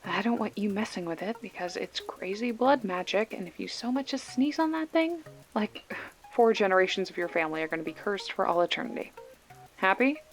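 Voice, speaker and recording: A woman's voice: mid-range voice, expressive, brisk, natural voice. A woman playing a sarcastic, foul-mouthed young video store clerk with a protective streak, talking casually and wryly in a one-sided phone call for an ASMR roleplay. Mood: wry, bantering. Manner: wry, snarky, casual with swearing.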